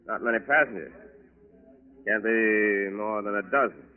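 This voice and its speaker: An actor playing a methodical, businesslike FBI agent with a clipped, matter-of-fact manner, in 1940s radio drama style. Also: male voice, on the low side, a little slow, lightly acted. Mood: alert, brisk. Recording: tinny 1940s radio recording.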